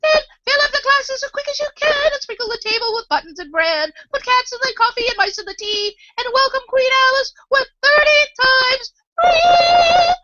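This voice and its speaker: A woman singing as a shrill-voiced herald at a feast, very high and bright, in a storybook reading. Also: normal speed, few pauses, highs cut off, background noise. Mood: jubilant. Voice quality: shrill, sung.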